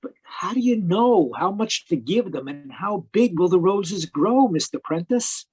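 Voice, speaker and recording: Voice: medium pitch, animated, measured pace, darkish tone, breathy edge. An actor playing a confused, deferential boy who helps in the garden, hesitant and questioning, in old-time radio drama style. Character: tired, confused, deferential young male garden helper. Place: live video call performance. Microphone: video call microphone.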